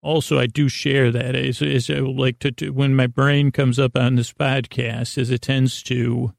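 Guy doing a bedtime podcast, gravelly creaky voice, rambles and repeats himself, very dry close sound. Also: mid-range voice, level tone, no echo. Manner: meandering, halting, circles back and repeats phrases. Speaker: host of a bedtime-story sleep podcast. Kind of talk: rambling monologue meant to lull listeners to sleep.

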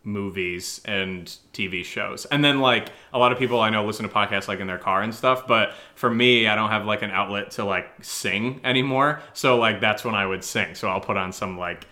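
A man's voice: on the low side, moderate pace, few pauses, pushed and bright. A man co-hosting a podcast, chatting casually as he answers listener questions in a rambling, joking, self-deprecating way. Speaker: audio drama podcast co-host.

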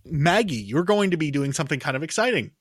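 A man's voice: fairly high pitch, animated, fast talker, slightly rough, dry recording, clean studio sound. A man co-hosting an entertainment show with informal, conversational delivery. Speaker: co-host of an entertainment news show.

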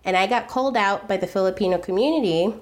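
An American woman in her thirties, a food video creator, speaking earnestly and thoughtfully in an interview, often pausing to restart her sentences. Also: mid-range voice, a little mellow. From United States. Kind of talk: reflective interview conversation.

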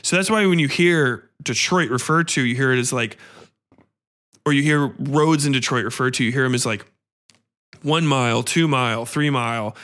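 A man's voice: mid-range voice, fairly bright tone. An American man co-hosting a film podcast, talking casually and profanely in a rambling, emphatic way as he retells and discusses. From United States. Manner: rambling, emphatic, acts out quoted dialogue. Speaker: podcast co-host reviewing films.

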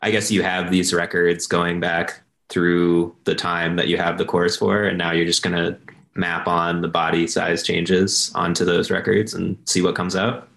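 A man's voice: sits low, level tone, a little slow, vocal fry, fairly bright tone. A man hosting a casual interview, asking questions in loose, hesitant phrasing. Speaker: podcast host.

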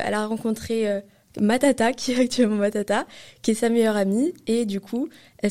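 A woman's voice: mid-range voice, dark tone. An eighteen-year-old woman from France, a student guest on a podcast, chatting casually about herself. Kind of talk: casual personal chat.

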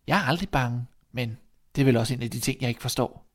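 Fairy-tale youngest son, played by a male reader, guileless, plain-spoken, insistent, not very bright. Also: mid-range voice, lightly acted. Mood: naive, puzzled. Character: dim-witted fearless youngest son, earnest and naive boy.

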